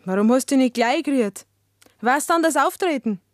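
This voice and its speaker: Radio drama: shameless, cajoling young Bavarian village woman, higher-pitched, dry close sound. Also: expressive, normal speed, dry recording. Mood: pleading. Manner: cajoling, unapologetic. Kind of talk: German dialect radio drama acting.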